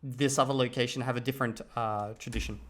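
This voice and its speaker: A man vlogging about learning a language, ranting in an animated, exasperated way and translating clips aloud. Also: medium pitch, quick pace. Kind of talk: casual commentary reacting to clips.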